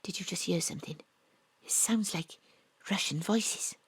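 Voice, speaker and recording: A woman narrating and playing a bold private investigator, often hushed and conspiratorial. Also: on the low side, moderate pace, husky, dry recording, in a whisper. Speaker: narrator and lead. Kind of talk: first-person drama narration. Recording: dry home studio.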